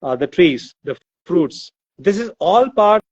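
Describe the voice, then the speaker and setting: A man from Nigeria shares his view as an author guest. His voice sits a little high and reaches the listener as muffled, dry remote audio. He pauses often with fillers while he shapes his ideas. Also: expressive, darkish tone, dry recording. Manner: thoughtful, with many fillers and restarts. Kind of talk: casual discussion.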